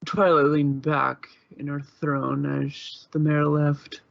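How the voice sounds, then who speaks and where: A man's voice: fairly high pitch, flat delivery, takes it slowly, vocal fry, fairly bright tone, no room sound, dull audio. A man narrating fan fiction in an informal amateur style, occasionally stumbling over words.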